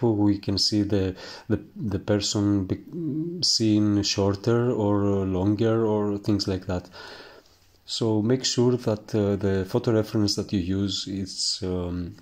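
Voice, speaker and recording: Greek man, painting teacher, slow halting delivery, lowish voice, lots of ums. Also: a little mellow. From Greece. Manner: halting, searching for words, many fillers. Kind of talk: instructional voice-over while painting.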